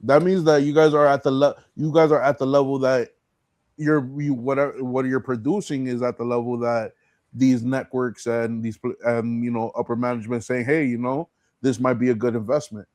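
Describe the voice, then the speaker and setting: A man's voice: medium pitch, little pitch movement, normal speed, darkish tone, no room sound. A man hosting a casual chat, speaking in a slangy, informal and encouraging way. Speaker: podcast host.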